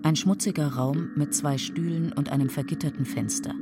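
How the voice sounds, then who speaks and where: A woman's voice: low-pitched, steady tone, normal speed, lightly acted. A woman narrating the scenes of a radio play in a terse, matter-of-fact scripted style. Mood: sober. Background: music.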